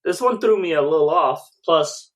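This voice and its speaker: A man's voice: fairly high pitch, animated, moderate pace. A man co-hosting a podcast in casual conversation. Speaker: podcast co-host. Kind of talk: casual chat.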